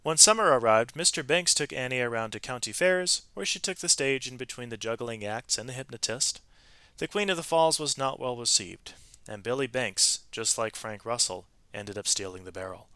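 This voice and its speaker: A man narrating a children's story aloud in an expressive, animated way, with varied emphasis from phrase to phrase. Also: mid-range voice, normal speed, clean tone, no echo.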